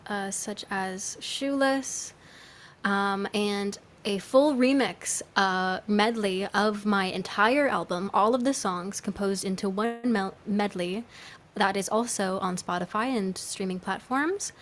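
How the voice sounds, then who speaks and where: A woman's voice: medium pitch, slow pace, fairly bright tone. A woman musician chatting casually on a livestream, answering in an informal, conversational way.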